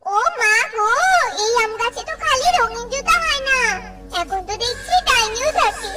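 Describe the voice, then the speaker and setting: A woman's voice: very high voice, animated, normal speed, recorded from a distance, heavy background noise. A woman voicing a spiteful villainous mother bird in a children's cartoon, indignant and dramatic. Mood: terrified. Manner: indignant, scheming.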